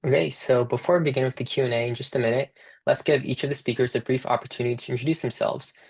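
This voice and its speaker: A man's voice: mid-range voice, fairly even intonation, quick pace, gravelly, breathy edge. A young man moderating an online panel as a student research director, reading a prepared introduction in a friendly way.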